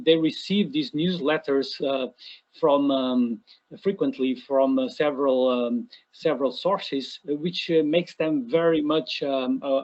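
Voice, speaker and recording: Hesitant man from Portugal on a call. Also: mid-range voice. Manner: hesitant, many restarts and fillers. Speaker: academic presenter. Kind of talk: online Q&A answer. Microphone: video call. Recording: dull lo-fi video call audio.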